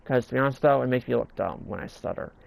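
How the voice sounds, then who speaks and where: A man's voice: medium pitch, fast talker, vocal fry. A man giving casual game tutorial commentary as he builds, repeating himself and stumbling over words with an occasional stutter. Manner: stumbling, repetitive delivery, stutters at times. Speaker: gaming video host.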